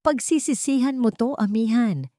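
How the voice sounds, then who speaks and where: A woman's voice: a little high, normal speed, warm tone, no room sound, studio quality, voice pushed up, natural voice. Woman voicing a stern, domineering old patriarch. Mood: outraged, threatening. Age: older. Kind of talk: dramatic Tagalog audio story.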